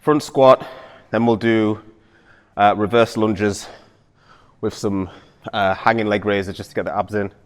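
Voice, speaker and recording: A man hosting the show and coaching a workout, talking in a relaxed, loose way with plenty of fillers. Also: fairly low pitch, a little slow, clear, resonant tone. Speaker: host and personal trainer. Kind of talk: casual coaching chat. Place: gym. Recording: echoey gym with long reverb.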